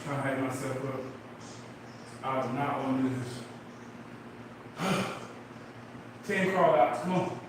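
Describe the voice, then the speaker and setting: A man's voice: mid-range voice, slow, long pauses. A man in his forties from Missouri, counting reps aloud and urging himself on through a late-night workout, out of breath. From Midwest, Missouri. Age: 40s. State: out of breath from exercise. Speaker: fitness livestreamer. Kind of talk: casual workout livestream chat, counting reps. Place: home workout space at night. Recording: echoey room, mic far off, noisy background.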